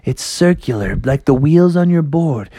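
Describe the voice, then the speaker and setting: A man's voice: fairly high pitch, animated, measured pace, warm tone, dry recording, pitched above the performer's own voice. A man voicing a friendly, laid-back frog in a children's story, drawling in surfer-dude slang. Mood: chill.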